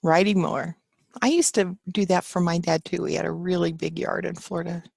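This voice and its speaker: Gravelly-voiced woman teaching an online class. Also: lowish voice, steady tone, fairly quick, a little mellow, dry recording, clean studio sound. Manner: chatty, encouraging, reads student answers aloud. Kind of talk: live online class, chatting with students. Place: home office. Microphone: computer mic on a video call.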